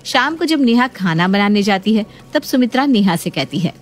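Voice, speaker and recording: A woman's voice: mid-range voice, expressive, normal speed, slight background noise. A woman narrating a scripted family story as a storyteller.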